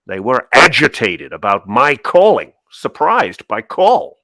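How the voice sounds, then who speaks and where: A man's voice: mid-range voice, sing-song, unhurried, pushed and bright, dry recording, heavily acted. A man playing a guarded senior admiral in a dramatic reading, recounting secrets in clipped phrases. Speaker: co-host reading a part. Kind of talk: dramatic cold reading of a memo transcript.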